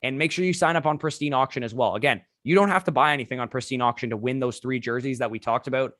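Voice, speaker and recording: Host, male, hoarse from losing his voice, rapid-fire delivery, dry mic. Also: mid-range voice, a bit bright, no echo. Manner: fast, run-on analysis with restarts. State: hoarse, lost voice. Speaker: fantasy football podcast host. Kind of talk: solo fantasy sports recap.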